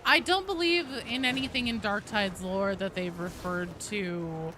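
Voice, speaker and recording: A woman's voice: mid-range voice, unhurried, sharp tone, a little hiss or hum. A woman streaming a game, casually explaining lore in a rambling, informal way with some swearing.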